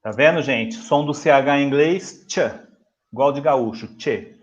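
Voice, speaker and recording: A man with a Brazilian accent hosts a casual English-teaching chat. He is a native Portuguese speaker with a mid-pitched voice. The recording is slightly dull and lo-fi. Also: moderate pace.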